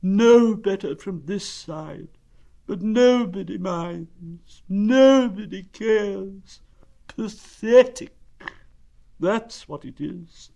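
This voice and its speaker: A man voicing a gloomy, self-pitying old grey donkey in a children's storybook, with a slow, mournful, sarcastic delivery. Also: high voice, creaky, dark tone, pitched above the performer's own voice, heavily acted.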